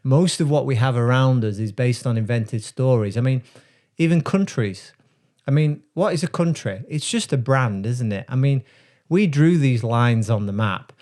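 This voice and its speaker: A man who works as a brand strategist, talking casually to camera and explaining ideas in a reflective, unhurried way with frequent pauses. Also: mid-range voice, animated, a little mellow.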